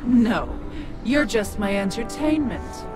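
A woman's voice: mid-range voice, sing-song, takes it slowly. A woman playing an evil, ancient witch in a video game, sinister and mocking. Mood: scornful. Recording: loud game music underneath.

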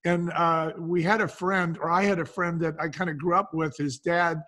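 A man's voice: on the high side, fairly even intonation, moderate pace, bright, forceful tone. An older man being interviewed, telling stories conversationally and acting out the quotes as he goes. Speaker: podcast guest, brand co-founder.

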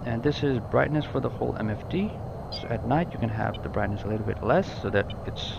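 A man's voice: medium pitch, fairly quick, gravelly, lo-fi sound, noisy background. A man giving a casual, explanatory walkthrough of a flight simulator cockpit, informally repeating and rephrasing as he goes. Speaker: gaming tutorial presenter.

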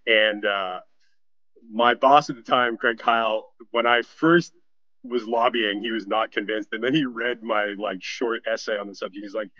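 A man's voice: lowish voice, steady tone, moderate pace, bright, forceful tone, no echo. A man being interviewed, casually telling a story about his work. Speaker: interview guest, animation professional. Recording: band-limited, dull audio. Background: faint music.

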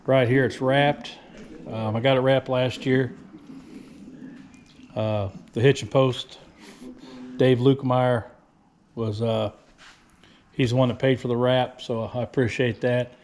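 A man's voice: medium pitch, little pitch movement, slow, long pauses, darkish tone. A man, chatting casually and plainly for a fishing vlog, in a folksy way. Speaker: amateur tournament angler and vlogger. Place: outdoors on a gravel lot, then inside a large garage. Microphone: action camera at arm's length. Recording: noisy handheld camera audio, ambient noise.

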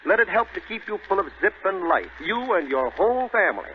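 A tinny, faintly hissy 1930s radio advert with a folksy pitchman. His voice is fairly high and very animated as he questions and persuades like an announcer. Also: brisk. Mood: persuasive.

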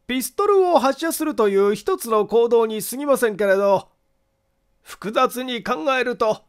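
A man voicing a meticulous amateur detective in an audiobook, methodically and formally laying out his reasoning. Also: very high voice. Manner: deliberate, formal, methodical explaining. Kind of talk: acted audiobook monologue.

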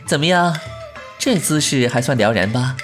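Woman acting animated, defiant young gentleman. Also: rumbling low, normal speed, music in the background. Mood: defiant, provocative. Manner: indignant, theatrical, provocative. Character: brash, defiant, sharp-tongued young gentleman. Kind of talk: Chinese costume audio drama acting.

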